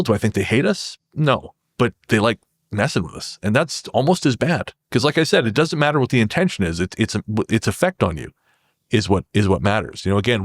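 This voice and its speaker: A man's voice: on the low side, dry recording, studio quality. A man speaking as a podcast guest, telling personal stories in a casual, reflective and wry way. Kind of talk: casual conversational storytelling.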